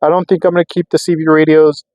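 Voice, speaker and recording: A man's voice: a little high, little pitch movement, fast talker, darkish tone, no echo. An American man talking casually and off the cuff as a vlogger testing gear, rambling and thinking aloud. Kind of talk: casual unscripted vlog narration. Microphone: clip-on microphone.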